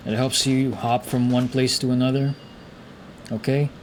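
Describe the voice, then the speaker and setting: A man's voice: medium pitch, little pitch movement, measured pace, a little mellow, rough recording. A man giving informal, slangy playthrough commentary and reading game text aloud. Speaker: gaming content creator. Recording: faint background noise from game.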